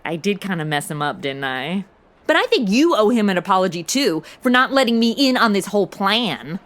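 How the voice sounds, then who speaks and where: A woman's voice: medium pitch, big pitch swings, fairly quick, a bit bright. A woman playing a brash, impulsive modern American sidekick in a comedy audio drama, slangy, blunt and sarcastic.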